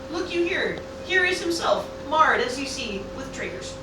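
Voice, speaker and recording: A woman's voice: high-pitched, sing-song, normal speed. A woman playing an impassioned funeral orator in a declamatory amateur Shakespearean radio play. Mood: mournful. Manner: declamatory, theatrical. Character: impassioned funeral orator stirring a mourning crowd. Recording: very echoey, distant, noisy live room.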